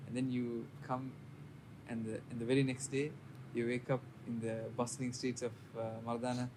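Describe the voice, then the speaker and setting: A man in his twenties who grew up in Saudi Arabia talks as a podcast guest in a measured, unhurried way with plenty of fillers. His pitch stays flat and level. A clear background hiss sits under the recording. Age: 20s. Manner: even, measured, with many fillers. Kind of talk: casual interview storytelling.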